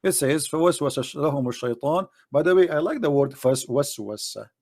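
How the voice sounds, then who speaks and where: A man whose first language is Arabic, debating casually, animated and teasing, pressing his points and pushing his voice up for emphasis. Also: on the high side, fast.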